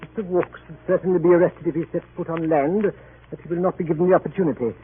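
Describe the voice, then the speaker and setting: A man's voice: on the high side, steady tone, fast talker, close to an everyday voice. Man playing a pompous British consul, old radio. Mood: airy, condescending. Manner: pompous, affected, condescending drawl. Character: pompous, blasé male British consul, a diplomat. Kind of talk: 1940s radio drama acting. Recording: old narrowband radio recording with clear noise.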